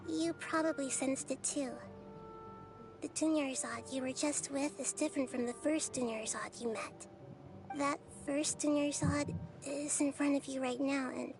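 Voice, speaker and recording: Calm, wise little girl deity. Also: high voice. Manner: composed, knowing, measured. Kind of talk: video game voice acting.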